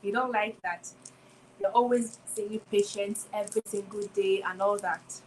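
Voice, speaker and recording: A woman's voice: mid-range voice, steady tone, measured pace, a little hiss or hum, poor audio. A woman in her twenties hosts a solo motivational talk, speaking reflectively and returning again and again to rhetorical questions. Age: 20s. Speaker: podcast host.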